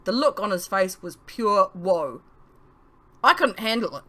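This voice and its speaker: Woman narrating a novel, medium pitch. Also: animated, unhurried, bright, forceful tone. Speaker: author reading own novel. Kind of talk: expressive audiobook narration.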